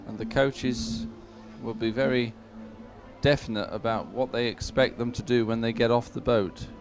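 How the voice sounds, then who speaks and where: A man's voice: medium pitch, steady tone, normal speed, faint music behind. A man giving conversational live commentary on a sporting event as a co-commentator.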